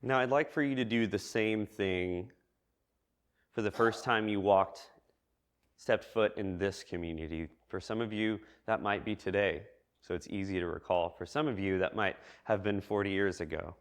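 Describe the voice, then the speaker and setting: Guy preaching at church, lowish voice, thoughtful and measured, pauses a lot. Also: smooth. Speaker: preacher giving a church message. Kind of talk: reflective sermon addressed to a congregation.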